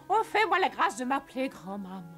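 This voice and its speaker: A genteel old cartoon grandmother, kindly and teasing. Her voice sits a little high and moves expressively up and down, with light music behind. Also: full character voice. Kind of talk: French cartoon dubbing.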